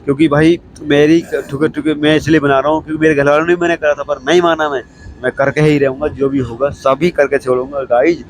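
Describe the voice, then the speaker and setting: A hoarse young man with a cold, chatting casually to camera as a vlogger and repeating himself often. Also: fairly high pitch, little pitch movement, a little fast, a little hiss or hum. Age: young adult. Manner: chatty and repetitive, keeps saying okay. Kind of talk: casual vlog talk to camera. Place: railway station platform, outdoors. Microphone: clip-on mic.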